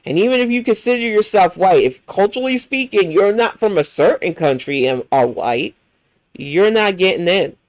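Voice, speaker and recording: A woman's voice: medium pitch, expressive, moderate pace, a little mellow, dry recording, poor audio. An American woman hosting a livestream, reacting to clips in a blunt, profane, heated rant. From United States. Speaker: livestream host.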